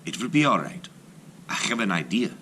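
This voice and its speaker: A man voicing a clever young boy in a folktale, speaking softly and reassuringly. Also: fairly low pitch, big pitch swings, moderate pace, slight background noise. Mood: calm.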